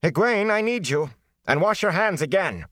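A man's voice: mid-range voice, lively intonation, moderate pace, fairly bright tone, no echo, clean studio sound, pitched above the performer's own voice, close to an everyday voice. A man doing a stern, weary village healer woman. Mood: urgent calling. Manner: emotionless and firm.

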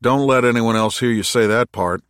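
A man's voice: medium pitch, moderate pace, fairly bright tone, no echo, clean studio sound, natural voice. A man acting a blunt, sardonic working man. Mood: dry, matter-of-fact. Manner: blunt, sardonic, grunting retorts. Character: gruff, skeptical working man in a future moon colony. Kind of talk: audiobook character dialogue.

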